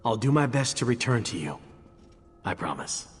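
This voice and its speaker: An actor voicing a gallant, sentimental adventurer knight in a video game, wry and melodramatic. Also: male voice, mid-range voice, sing-song, normal speed, breathy edge, soft background music. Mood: melodramatic, tender. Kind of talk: video game character acting. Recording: noisy background ambience.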